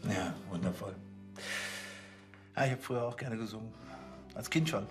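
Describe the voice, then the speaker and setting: A man's voice: on the low side, moderate pace, music in the background, close to an everyday voice. An actor playing a pompous, fussy police chief who tacks "hm?" onto his orders. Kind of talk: TV crime comedy acting.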